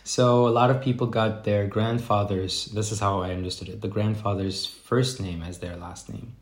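A man from Germany talks through his answers slowly, doubling back and filling pauses as he thinks. His voice sits low. The sound carries a roomy echo. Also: fairly even intonation. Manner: reflective, rambling with many fillers and restarts. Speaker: podcast guest, life coach. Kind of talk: casual interview chat.

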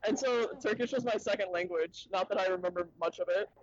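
High-voiced young man, thoughtful and academic. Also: a little fast. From Little Rock, Arkansas, USA. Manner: thoughtful, academic, many filler ums and uhs. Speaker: podcast guest. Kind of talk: casual interview talk. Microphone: remote call from a conference. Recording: dull lo-fi sound, dry.

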